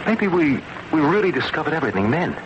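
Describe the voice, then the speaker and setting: An excitable young American scientist in an old-time radio play, eager and fairly high-voiced with a very lively delivery. The recording is muffled and clearly noisy. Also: male voice, fast. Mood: reflective.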